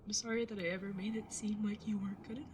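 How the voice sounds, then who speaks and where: A woman's voice: mid-range voice, steady tone, rapid-fire, noisy background, lightly acted. A woman playing a candid, protective older sister in an audio drama about a road trip. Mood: remorseful, tender. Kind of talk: audio drama acting with first-person narration.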